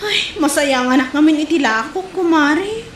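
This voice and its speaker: A woman's voice: high voice, expressive, normal speed, fairly bright tone. A woman playing a stubborn, money-hungry peddler wife with an Ilocano accent in a comedy drama. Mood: defensive. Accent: Ilocano Filipino. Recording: roomy echo, clear background noise.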